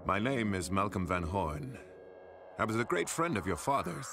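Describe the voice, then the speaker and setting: An actor playing a grave older family friend and mentor in a video game, speaking in a measured, ominous way. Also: male voice, fairly low pitch, big pitch swings, vocal fry, noisy background. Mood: grave, calm. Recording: loud music behind the voice.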